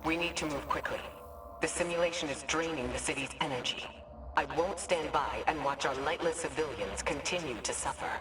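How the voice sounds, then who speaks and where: Female actor as commanding leader, deep for a woman, firm, urgent, formal, game cutscene, slightly distant. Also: a little fast, lightly acted. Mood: urgent resolve. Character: stern, commanding female leader, protective and impatient. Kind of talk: video game cutscene acting.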